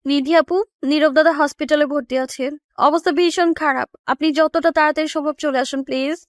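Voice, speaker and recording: Audio drama sister: actress as anxious, pleading girl, very high voice, dry recording. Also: fairly even intonation, brisk, no room sound, close to an everyday voice. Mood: urgent, pleading. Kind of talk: audio story character acting.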